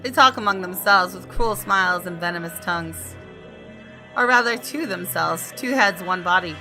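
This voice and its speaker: A woman's voice: on the low side, normal speed, bright, forceful tone, soft background music. A woman gaming YouTuber reading visual novel narration aloud expressively, with casual asides.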